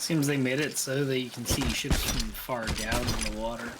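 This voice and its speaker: Co-player, male, mid-pitched, plain reporting, noisy mic with typing behind. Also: steady tone, moderate pace. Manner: matter-of-fact, reporting what he sees. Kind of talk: casual gameplay chat.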